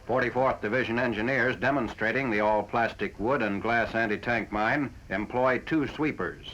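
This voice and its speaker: A man reading a scripted 1940s military newsreel narration in a formal, factual, measured manner. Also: mid-range voice, fairly bright tone. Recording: dull, noisy 1940s film soundtrack.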